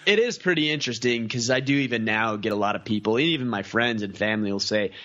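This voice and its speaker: A man's voice: fairly low pitch, fast, a bit bright, highs cut off. A man talking as a podcast guest in a casual interview, earnest and piling up lists of examples. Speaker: podcast guest, community founder.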